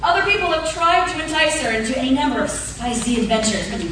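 A woman's voice: sits high, lively intonation, fast talker, fairly bright tone. A woman playing a wry, scheming, melodramatic character in comic radio theatre, arch and mock-tragic. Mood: sardonic. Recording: distant, very echoey, noisy stage recording.

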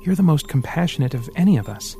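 A man voicing a steady, fatherly hero who talks in a calm, reassuring, earnest way. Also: fairly high pitch, fast, soft background music, pitched above the performer's own voice. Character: steady man with a metal arm, fatherly and resolute.

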